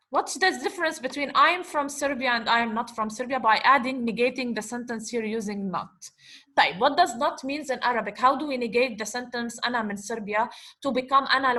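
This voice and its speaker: A woman teaching an Arabic lesson over slides, explaining slowly and asking questions she then answers herself. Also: a little high, sharp tone. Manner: switches between English and Arabic words. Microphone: computer mic, voice-over on slides.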